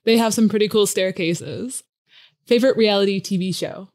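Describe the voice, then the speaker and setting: A woman's voice: mid-range voice, moderate pace, darkish tone. Upbeat woman podcast host. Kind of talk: casual podcast interview.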